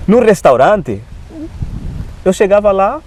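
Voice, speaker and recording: Man, African accent, non-native speaker, lively testimony, slightly high voice. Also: takes it slowly, a little hiss or hum. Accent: African-accented Portuguese. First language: not Portuguese. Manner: animated storyteller who often adds "né". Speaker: Adventist preacher, guest. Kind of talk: personal testimony in conversation.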